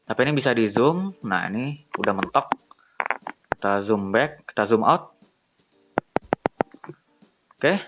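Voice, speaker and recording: A man's voice: medium pitch, narrow, tinny audio. A young man, casually reviewing a cheap phone on camera, thinking aloud and talking to viewers as guys.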